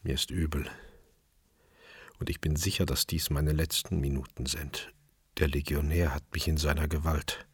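An actor playing a tense chef who narrates his own crime story in a German radio drama, terse and inward, with a husky, rough voice. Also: a bass voice, fairly even intonation, normal speed, no echo, full character voice. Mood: dazed, grim.

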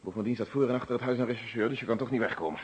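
An actor playing a firm, probing police inspector who questions with formal politeness in a radio play. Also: male voice, medium pitch, very fast, a little hiss or hum, natural voice. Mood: threatening, calm. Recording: dull old radio recording.

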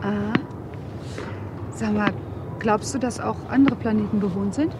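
A woman's voice: medium pitch, moderate pace, natural voice. Actress as curious young woman, outdoor noise. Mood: curious, friendly. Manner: casual, questioning. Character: curious young woman tennis player, friendly and inquisitive. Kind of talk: naturalistic radio drama dialogue. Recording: clear outdoor ambient noise.

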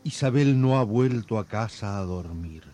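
A man playing the wry, suggestive storyteller of a radio serial, with theatrical, measured scripted narration. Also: fairly low pitch, animated, warm tone, faint music behind. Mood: wry, dramatic.

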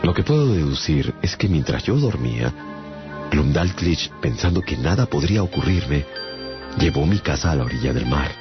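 A man's voice: rumbling low, lo-fi sound. A man playing a melancholic traveler who narrates his own adventures in a dramatized radio story. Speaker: narrator and lead actor.

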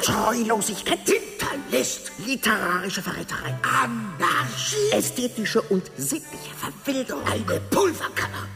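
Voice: medium pitch, vocal fry. An actor voicing an indignant, pompous moralist, declaiming scornful judgements in a radio play. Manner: declamatory, disdainful. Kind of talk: dramatic radio reading. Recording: long reverb, loud music behind.

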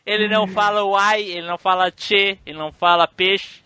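A man's voice: high voice, steady tone, fairly quick, very noisy. A man who hosts and edits a podcast, chatting casually and teasing his co-hosts with lots of laughter. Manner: joking, teasing, laughs often. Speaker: podcast editor and host.

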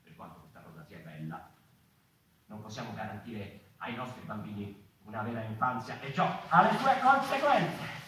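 Echo-drenched, declaiming weary stage monologist. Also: male voice, fairly low pitch, very animated, fairly bright tone. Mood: declamatory, urgent. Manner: declamatory, halting, repeats words and phrases. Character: weary, agitated male member of a mouse people. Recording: very echoey hall, distant, faint noise.

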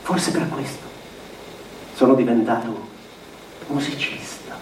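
An actor playing a sensitive young husband in a literary radio theatre monologue, confessing by letter in measured, deliberate phrases with pauses. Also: male voice, medium pitch, natural voice. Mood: melancholy, lyrical. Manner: measured, deliberate, pausing between short phrases. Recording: echoey, distant, noisy room recording.

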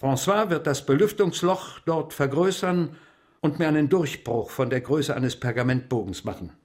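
A man's voice: on the high side, moderate pace, close to an everyday voice. An actor playing an aged French explorer captain dictating his notes in a radio drama. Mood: determined.

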